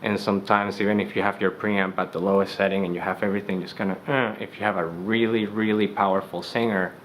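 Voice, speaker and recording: A young man, a studio engineer with a deep bass-heavy voice, explaining gear in a loose, conversational way with many fillers. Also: level tone, quick pace, fairly bright tone, a little hiss or hum. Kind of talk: gear tutorial. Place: recording studio.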